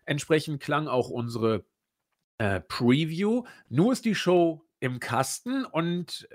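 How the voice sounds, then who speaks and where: A man hosting a podcast in casual chat, a bit hoarse from a cold, who often restarts his sentences. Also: on the high side, lively intonation, slow pace. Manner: chatty, restarts sentences, laughs at own slips. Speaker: podcast host.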